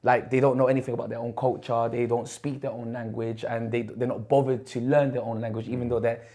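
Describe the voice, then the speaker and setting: A young man from Colombia speaks in a relaxed podcast conversation. He tells stories in a rambling, chatty way, full of fillers and restarts, at a middling pitch. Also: quick pace. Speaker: podcast guest. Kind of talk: casual interview chat.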